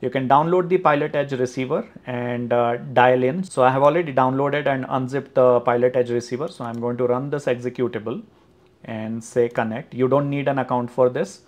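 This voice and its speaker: A man's voice: medium pitch, steady tone, brisk. A man presenting a screen-recorded walkthrough of a flight simulator service, explaining steadily with occasional fillers. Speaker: flight sim tutorial presenter.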